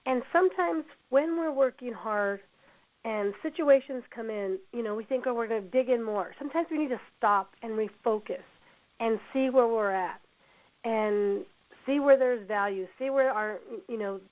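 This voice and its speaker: A woman with a hoarse voice from a cold, presenting a workplace training webinar in an encouraging manner, often saying "you know". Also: a little high, normal speed. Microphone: computer mic on a webinar call. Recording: dull lo-fi web audio.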